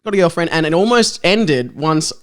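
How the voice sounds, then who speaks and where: A young Australian man with a fairly high voice and very lively, swinging intonation. He comes across as a podcast guest in casual comedic chat, wry and quick to banter. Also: smooth.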